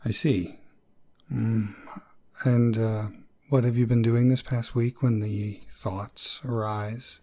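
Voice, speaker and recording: An actor playing an earnest, awkward therapist, speaking hesitantly and clinically with a slightly husky voice in an audio drama. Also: male voice, mid-range voice, level tone, slow pace, warm tone. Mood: probing, measured. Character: earnest, awkward therapist, gently probing and easily flustered. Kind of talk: naturalistic audio drama dialogue.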